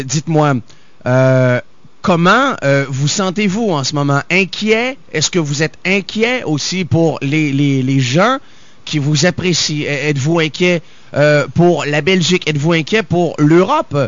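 Male interviewer, halting and hesitant; slightly high voice, dull lo-fi sound. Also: no echo. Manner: hesitant, many fillers and restarts. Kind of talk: interview questions.

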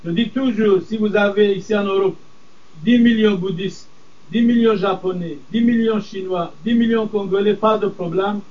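Older priest, distant and dull-sounding. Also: male voice, high-pitched, steady tone, darkish tone. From Middle East. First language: Aramaic. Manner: firm, deliberate, measured emphasis. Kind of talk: interview answers.

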